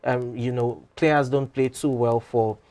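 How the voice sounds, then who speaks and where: A man sits in as a guest and comments in a measured way. He fills his pauses with "you know" and "um" as he builds his argument. His voice is in a middle pitch for a man. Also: steady tone, darkish tone. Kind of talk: studio talk show commentary.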